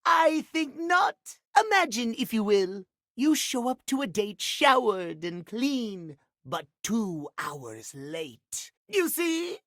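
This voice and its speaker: A pompous, fussy gentleman, lively pitch. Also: slow pace, no echo, studio quality, heavily acted. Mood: smug, lecturing. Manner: pompous, clipped old-fashioned phrasing. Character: prim, pompous punctuality-obsessed gentleman, fussy and condescending. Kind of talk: video game character voice acting.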